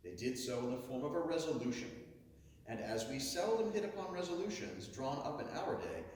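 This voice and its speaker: A man giving a declamatory reading of a historic oration, with booming, dramatic swells and drawn-out stresses. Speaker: orator reading a historic speech. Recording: echoey hall, heard from far off.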